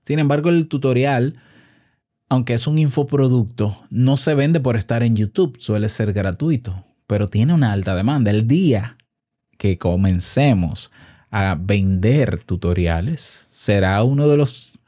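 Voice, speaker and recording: Dominican man explaining, mellow voice. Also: mid-range voice, animated, a little slow. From Dominican Republic. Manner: didactic, asks and answers own questions. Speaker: online business content creator and host. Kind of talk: informal explainer to camera.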